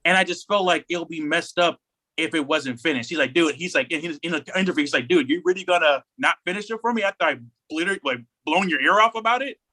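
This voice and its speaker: Bright, higher-voiced man chatting on a podcast. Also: quick pace, poor audio. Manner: animated storytelling, acts out quotes in slangy speech. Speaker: podcast co-host. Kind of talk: casual podcast discussion.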